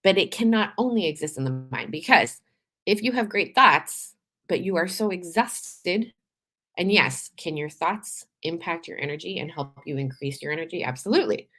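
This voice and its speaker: A woman from Canada hosting a live show, talking in a chatty, animated way with frequent laughs and restarts, her voice a little tired from days of talking. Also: on the low side, moderate pace. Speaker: podcast host. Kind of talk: casual live-stream monologue.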